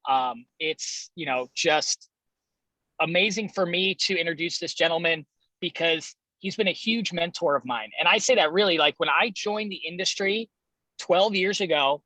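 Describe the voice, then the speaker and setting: A man with a slightly high, very bright and forward voice hosts an informal training call. He speaks in an enthusiastic, chummy way, and the sound is dry and close. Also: normal speed, no room sound, rough recording. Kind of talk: casual interview and introduction.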